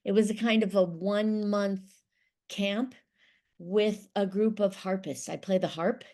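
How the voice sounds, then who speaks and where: A woman's voice: mid-range voice, fairly even intonation, a little slow, mellow. A middle-aged woman from Ohio, explaining things methodically as an interview guest. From Ohio, United States. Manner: explanatory, methodical, occasional restarts. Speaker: interview guest, business consultant. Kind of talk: conversational interview.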